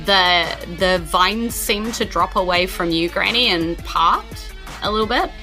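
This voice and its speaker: A woman with a New Zealand accent, narrating as game master in a halting, searching way with many "likes". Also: mid-range voice, sharp tone, soft background music.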